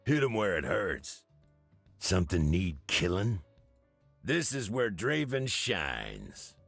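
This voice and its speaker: An actor playing a cocky, flamboyant, self-adoring axe warrior in a video game, boastful and theatrical, with a gravelly rasp. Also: male voice, sits low, big pitch swings, slow pace, fairly bright tone, dry recording, faint music behind, heavily acted. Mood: cocky, menacing. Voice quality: raspy, gravelly. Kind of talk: video game champion voice lines.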